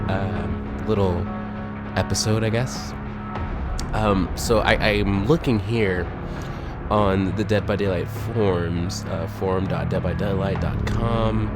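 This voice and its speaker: A man with a lowish voice talks through his thoughts in a loose, rambling way, often stopping to rethink a point. He sounds a little phlegmy, as if still getting over a cold, and music plays clearly under his voice. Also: steady tone, slow pace. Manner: rambling and hesitant, thinking aloud. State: recovering from illness, a bit phlegmy. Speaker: podcast host. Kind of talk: casual solo podcast talk about a video game. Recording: voice over loud background music.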